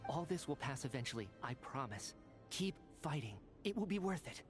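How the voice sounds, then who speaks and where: An actor playing a resolute survivor leader in a video game, stern, philosophical and exhorting. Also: male voice, mid-range voice, very animated, normal speed, music in the background, lightly acted. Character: resolute male survivor leader urging others onward. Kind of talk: dramatic video game voice acting.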